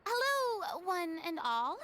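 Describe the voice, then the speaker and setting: A woman's voice: extremely high pitch, very animated, takes it slowly, pushed and bright, slight background noise, exaggerated voice. A woman playing a proud, easily flustered young lady in a video game, with formal, theatrical and hesitant speech. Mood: sheepish, apologetic. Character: proud, flustered young lady performer trying to save face.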